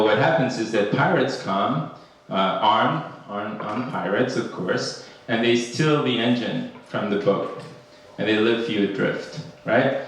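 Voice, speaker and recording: Slow, hesitant man in echoey hall. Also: fairly low pitch. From Ecuador. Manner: hesitant, many fillers and restarts. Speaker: academic panel speaker. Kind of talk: conference talk. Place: conference room. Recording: echoey hall, speaker far from mic.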